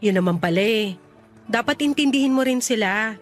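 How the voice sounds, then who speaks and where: A woman's voice: on the high side, moderate pace, lightly acted. A woman acting a friendly, teasing young woman who runs a boarding house, in casual conversational radio drama dialogue. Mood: curious, frank. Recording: music bed under the voice. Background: music.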